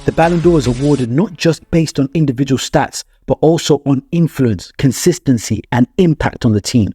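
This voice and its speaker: A man narrates a scripted sports analysis video in a mellow, warm voice of medium pitch. He stresses his points and poses rhetorical questions to the viewer. Faint music plays behind him. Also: moderate pace, slightly rough, dry recording.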